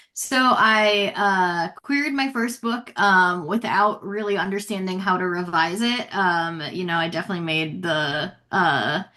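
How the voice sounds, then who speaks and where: A woman's voice: fairly low pitch, pushed and bright. An American woman speaking as an interview guest, reflecting casually with lots of "like" and "you know". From United States. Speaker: guest author.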